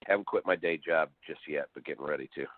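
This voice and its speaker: A man's voice: very deep voice, fast talker, no echo. A man calling in and chatting in a breezy, colloquial way. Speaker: caller. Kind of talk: casual chat. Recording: narrow dry phone line.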